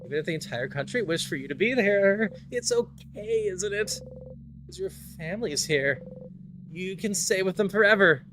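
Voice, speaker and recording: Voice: mid-range voice, animated, a bit bright, pitched above the performer's own voice, natural voice. Someone voicing a bitter, spiralling inner monologue, noisy recording. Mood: desperate. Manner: intense, halting, repeats phrases obsessively. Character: despairing time-loop hero's obsessive inner monologue, spiralling, bitter. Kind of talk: dramatic game script voice-over.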